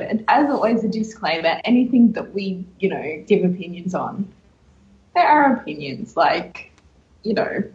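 A woman's voice: on the low side, darkish tone. A woman talking casually as a co-host on a podcast. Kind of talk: casual chat. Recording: roomy, echoing, dull lo-fi audio.

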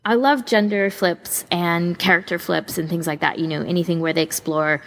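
A woman's voice: on the low side, steady tone, moderate pace. A woman co-hosting a podcast, chatting casually and informally with emphatic opinions. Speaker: podcast co-host. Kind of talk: casual fan chat.

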